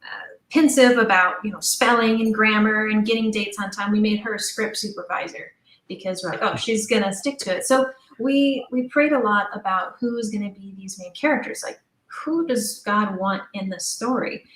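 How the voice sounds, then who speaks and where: A woman's voice: mid-range voice, normal speed, fairly bright tone. A woman with a mid-range voice talks as a guest in an interview. She explains things in a chatty, informal manner, working out her thoughts aloud. The sound is noticeably roomy, with echo around her words. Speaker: audio drama writer and director, interview guest.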